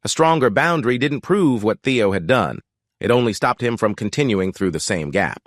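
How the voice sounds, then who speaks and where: A man giving scripted first-person story narration in an even, reflective way. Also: on the low side, normal speed, dry recording, studio quality.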